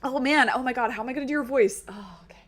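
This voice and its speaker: A woman streamer chatting casually over a game, hoarse from losing her voice, giggly and rambling. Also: high-pitched, quick pace, fairly bright tone. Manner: giggly, rambling and self-deprecating. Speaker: let's play streamer.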